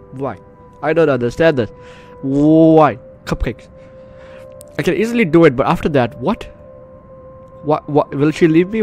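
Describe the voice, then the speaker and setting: A man hosting a gaming video while getting over the flu, reading and reacting aloud in a dramatic way with repeated phrases. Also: mid-range voice. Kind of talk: let's play commentary, reading game text aloud. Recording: game music behind the voice.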